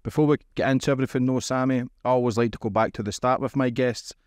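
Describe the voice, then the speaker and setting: A man's voice: mid-range voice, fairly even intonation, quick pace, smooth, no echo, clean studio sound. Upbeat male podcast host. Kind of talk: interview hosting.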